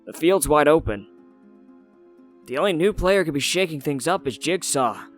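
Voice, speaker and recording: A man's voice: fairly high pitch, lively intonation, normal speed, natural voice. A man voicing a practical sidekick in a comic dub, giving a matter-of-fact briefing. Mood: businesslike. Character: practical male underworld intelligence sidekick. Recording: music behind the voice.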